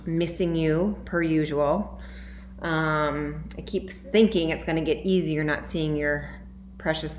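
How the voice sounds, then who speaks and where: A woman reading a picture book aloud and chatting to young children in an expressive way, as a teacher at story time. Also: low voice. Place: classroom. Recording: echoey room with faint noise.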